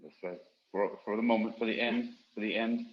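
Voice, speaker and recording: A man with a lowish voice makes easygoing small talk, often doubling back on his own words. The sound is a little muffled and lo-fi. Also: fairly even intonation, brisk. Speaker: podcast moderator. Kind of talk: casual chat.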